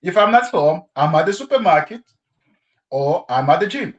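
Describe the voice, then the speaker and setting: Man from Congo, high animated voice, non-native English, casual and expressive, laughs often. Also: moderate pace, dull audio. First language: not English. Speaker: guest. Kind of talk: casual conversation in a class.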